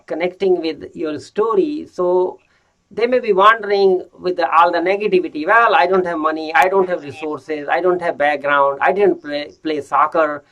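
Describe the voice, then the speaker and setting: A man hosts a podcast interview, feeling his way through each question. His voice is somewhat high, and he restarts and repeats words often before he gets the question out. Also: steady tone, normal speed, highs cut off. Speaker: podcast host.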